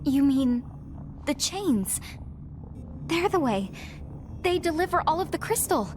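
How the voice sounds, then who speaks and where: A cautious, sensible teenage girl with a high voice. She sounds earnest, in animated game style, with music behind her. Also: slow pace, a little hiss or hum, full character voice. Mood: stunned. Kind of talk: animated video game voice acting.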